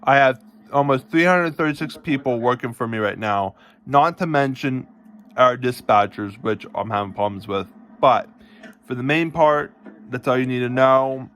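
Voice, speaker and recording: A man talking casually to camera in a halting, rambling way, often restating himself as he explains things. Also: medium pitch, fairly bright tone, highs cut off. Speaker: self-described police department chief recording a vlog. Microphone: phone.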